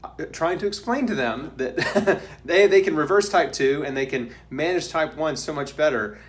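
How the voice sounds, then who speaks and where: Casual male host with halting delivery. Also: on the high side. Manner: informal, halting, filler-heavy. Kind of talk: casual video interview chat. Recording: faint background noise.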